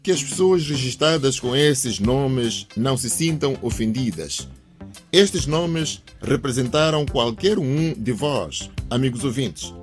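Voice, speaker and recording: Animated male radio presenter. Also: medium pitch, slow pace. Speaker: radio drama cast member and presenter. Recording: music behind the voice.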